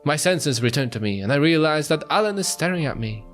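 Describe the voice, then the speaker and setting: A man's voice: a little high, lively intonation, brisk, fairly bright tone. A man reading visual novel narration aloud with dramatic delivery, as a let's play host. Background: faint music.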